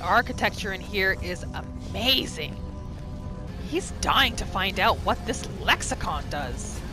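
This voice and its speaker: A woman's voice: medium pitch, lively intonation, measured pace, over music. A woman giving reactive gameplay commentary, exclaiming and thinking aloud as she plays through a roleplay. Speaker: gamer narrating a roleplay playthrough.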